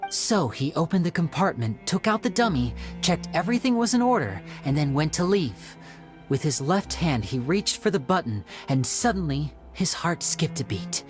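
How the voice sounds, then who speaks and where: An actor voicing a young male stage magician in a video game, smooth, theatrical and explanatory. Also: on the high side, close to an everyday voice. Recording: game audio with soft music.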